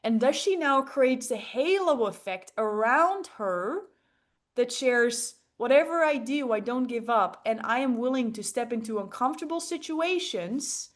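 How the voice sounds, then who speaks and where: A woman's voice: fairly high pitch, animated, unhurried. A woman coaching a group on public speaking, enthusiastic and emphatic, often checking in with rhetorical questions. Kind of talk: interactive group coaching talk.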